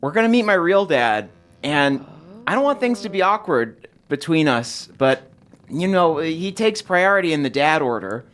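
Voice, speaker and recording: A woman's voice: low-pitched, very animated, moderate pace. A woman playing a tabletop game, making dry, teasing asides. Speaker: player. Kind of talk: comedic tabletop roleplay.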